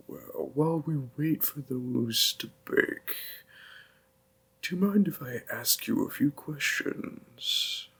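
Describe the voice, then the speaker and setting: A man playing a gentle, doting demon boyfriend in an intimate audio roleplay, speaking tenderly in a hushed, husky, creaky voice. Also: medium pitch, exaggerated voice. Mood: gentle, curious. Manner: slow, hushed, tender, with pauses.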